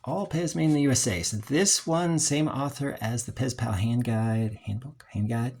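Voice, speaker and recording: Husky, creaky voice in rambling show-and-tell. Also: mid-range voice, moderate pace, a little mellow. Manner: hesitant, rambling, trailing off, frequent throat clearing. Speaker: collector reviewing books. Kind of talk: casual unscripted show-and-tell.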